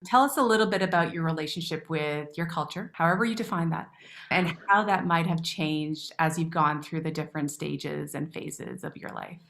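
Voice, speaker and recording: A woman hosting a podcast, introducing a guest and asking open, measured questions. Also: low voice, creaky, fairly bright tone, slight background noise. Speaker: podcast host. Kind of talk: podcast narration and interviewing.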